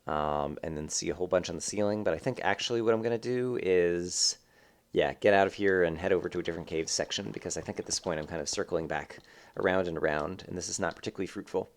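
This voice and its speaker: A man with a somewhat low voice talks through his gameplay in a loose, rambling way, filling pauses with ums and likes. His voice sounds a little congested, as if he has a slight cold. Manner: rambling, thinking aloud with many fillers. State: slightly stuffed up. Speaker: let's play host. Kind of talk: casual gameplay commentary.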